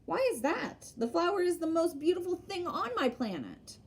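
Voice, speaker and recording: A woman voicing a gentle little boy prince from a storybook, full of wondering, persistent questions. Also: very high-pitched, very animated, normal speed, pitched above the performer's own voice, exaggerated voice. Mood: curious.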